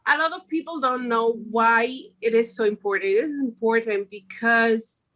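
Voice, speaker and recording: A woman's voice: on the high side, normal speed, fairly bright tone. Woman being interviewed. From Switzerland. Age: middle-aged. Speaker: podcast guest, makeup artist. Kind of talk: conversational interview answers.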